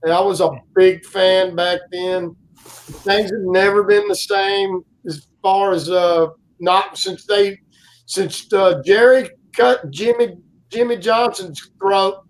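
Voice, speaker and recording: Man, 40s, guest co-host; loud carrying voice, pitched high, slow unhurried rambling delivery. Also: fairly even intonation, poor audio. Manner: rambling storyteller, many fillers and restarts. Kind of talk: casual live-stream chat.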